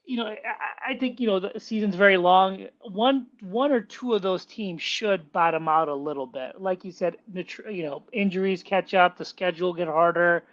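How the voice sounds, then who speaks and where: A man with a nasal, high-pitched voice is calling in to a sports talk show. He rambles and repeats himself as he builds a point. The line is dull and lo-fi. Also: moderate pace, hardly any pauses, fairly bright tone. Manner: rambling, repeats words when starting a point. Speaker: caller. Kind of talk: casual sports call-in chat.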